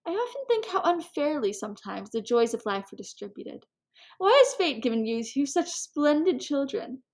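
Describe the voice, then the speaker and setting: A woman's voice: high-pitched, very animated, normal speed, a little mellow. A woman reading a storybook as an effusive, courtly society hostess who confides and meddles with an air of melancholy. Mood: gently reproachful.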